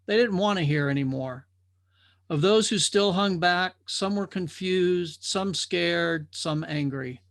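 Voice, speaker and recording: A man narrating a scripted parable as a storyteller, with measured, deliberate phrasing. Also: high voice, creaky, a bit bright, no echo, clean studio sound.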